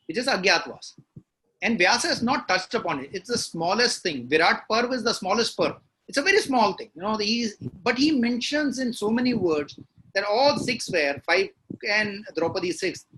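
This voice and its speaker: A man's voice: high voice, bright, forceful tone, a little hiss or hum, poor audio. A man with an Indian accent, a novelist guest in a conversational interview, explaining his work reflectively and slipping into Hindi at times.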